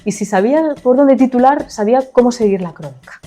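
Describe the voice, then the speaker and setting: Soft-spoken, mellow woman from Spain. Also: on the high side, expressive, brisk. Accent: Spanish from Spain. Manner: soft-spoken, chatty, laughs easily. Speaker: writer, podcast guest. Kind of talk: casual interview answers.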